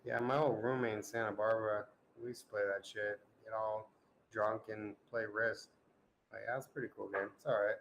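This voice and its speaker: Man, 40s, lowish voice, profane joking banter, faint noise behind. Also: level tone, normal speed, a bit bright, low-quality recording. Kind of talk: casual group chat.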